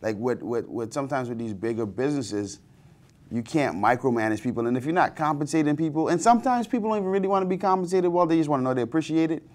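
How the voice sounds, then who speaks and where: A man's voice: on the high side, animated, quick pace, darkish tone. A man in his thirties from the Bahamas, co-hosting a casual business panel chat in a TV studio, often restarting his sentences. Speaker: co-host. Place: TV studio, seated at desk.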